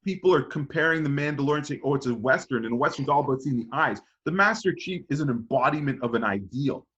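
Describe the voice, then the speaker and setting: A man's voice: mid-range voice, lively intonation, fast talker, fairly bright tone, lo-fi sound. A man in casual podcast debate, arguing his point in an insistent, emphatic way. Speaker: podcast panelist.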